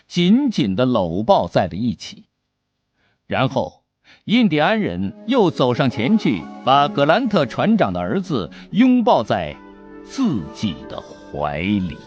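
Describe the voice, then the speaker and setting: A man narrating an adventure novel as an audiobook, with expressive, deliberate dramatic storytelling. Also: mid-range voice, dry recording, highs cut off.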